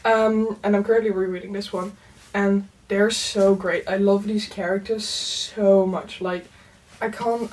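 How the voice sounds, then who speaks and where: A young woman whose first language is Dutch talks through her bookshelf in a loose, chatty way, dotting her sentences with "um" and "like". Her voice sits at a medium pitch and picks up a little distance, as if the mic is set back from her. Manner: chatty, lots of "um" and "like", soft laughs. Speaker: book vlogger. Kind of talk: casual chat.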